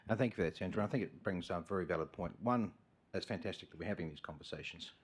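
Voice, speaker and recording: A man chairing a council committee meeting, measured and thanking each speaker. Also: low voice, breathy edge.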